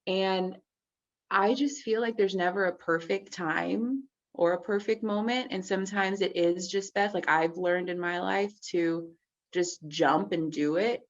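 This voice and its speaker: A woman from Texas, a guest in a casual interview, talking reflectively in a relaxed way with many fillers. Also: fairly low pitch, unhurried. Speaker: actress guest. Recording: dull, muffled lo-fi audio.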